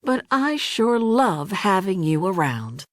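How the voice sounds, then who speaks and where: A woman's voice: medium pitch, sing-song, slow pace, dry recording, studio quality. A woman voicing a kindly, cheerful male cartoon mouse in a storybook, friendly and earnest. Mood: fond.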